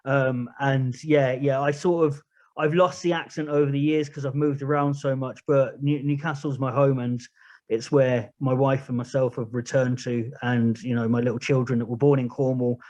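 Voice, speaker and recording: A man's voice: medium pitch, level tone, moderate pace, a little mellow. Candid man with a southern English accent. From England. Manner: candid, plain-spoken, rambling. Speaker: podcast guest, charity project manager. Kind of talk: casual interview talk. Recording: muffled lo-fi recording.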